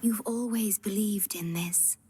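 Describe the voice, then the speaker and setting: Soft breathy woman acting a serene young guardian, unhurried. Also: medium pitch, a little hiss or hum, close to an everyday voice. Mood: solemn, sorrowful. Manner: measured, tender, slightly formal phrasing. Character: gentle, devoted young female guardian, serene and wistful. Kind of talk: English game dub voice acting. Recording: music playing behind the voice. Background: background music.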